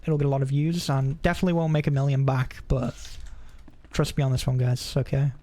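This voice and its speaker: A man's voice: mid-range voice, level tone, brisk, warm tone. A man casually commentating as he streams a video game, with a joking, sarcastic manner.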